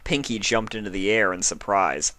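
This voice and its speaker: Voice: low-pitched, normal speed, sharp tone, dry recording. A narrator reading a scripted story aloud as a fan fiction reader.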